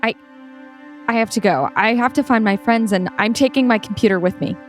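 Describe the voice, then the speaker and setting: An anxious, determined heroine of an audio drama, played by a woman. Her voice is on the high side, with faint music underneath. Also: a little fast, natural voice. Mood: anxious, resolute. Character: determined, anxious young heroine with a prized computer. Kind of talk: scripted audio-play acting.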